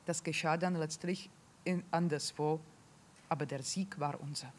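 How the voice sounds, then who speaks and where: A woman in her forties reading a literary text aloud in a calm, measured way into a handheld microphone on a library stage. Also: low-pitched, slight background noise. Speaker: reader at a literary panel. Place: stage in a large library hall.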